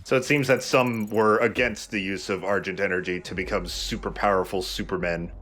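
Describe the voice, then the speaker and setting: A man's voice: sits low, steady tone, fairly quick, a bit bright, faint music behind. A man giving casual, explanatory commentary as he plays a video game. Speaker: let's play commentator.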